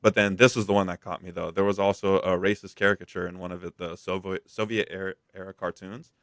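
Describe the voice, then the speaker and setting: A man's voice: low voice, level tone, quick pace, a bit bright, no room sound, clean studio sound. A man co-hosting a podcast, talking through gaming news in a casual, slangy, rambling way. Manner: rambling, slangy, frequent "like" and "dude". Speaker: podcast co-host.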